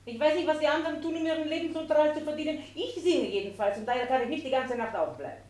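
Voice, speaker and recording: An actress playing an imperious, irritable female singer in a German radio play, shrill and scolding, in an echoey space. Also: expressive, fast talker, darkish tone. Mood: impatient.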